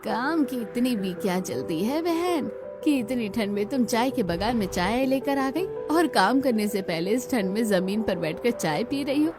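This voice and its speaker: A woman's voice: a little high, animated, normal speed, close to an everyday voice. A woman voicing a nosy, chiding village woman who works on a tea farm, in a cartoon story. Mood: questioning. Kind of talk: scripted cartoon story dialogue. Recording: music behind the voice.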